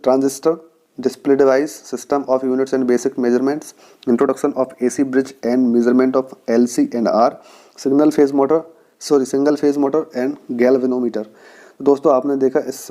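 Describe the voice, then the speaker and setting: Flat, mellow-voiced Indian man teaching. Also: medium pitch. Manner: instructive, reads out topic lists and explains them. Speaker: teacher, exam-prep channel host. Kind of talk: informal explanatory lecture to students.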